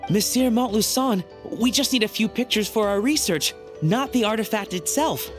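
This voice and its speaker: A woman's voice: a little high, moderate pace, soft background music, lightly acted. A woman playing an earnest, worried researcher in a video game, speaking politely and pleadingly. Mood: anxious.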